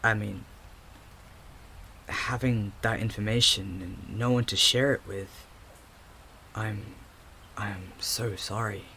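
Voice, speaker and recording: A man's voice: fairly low pitch, steady tone, slow, pauses often, bright, forceful tone, slight background noise, full character voice. A man acting a hesitant young man with fractured memories in an audio drama, stammering and thinking aloud. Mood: sorry, gentle. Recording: music under the voice.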